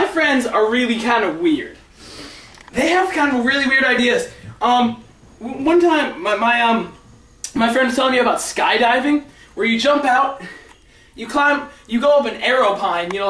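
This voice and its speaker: A male storyteller with a notably high voice, heard from a distance in an echoey room. He rambles through comic tales with excitable, dramatic build-ups. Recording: roomy echo, far from the mic.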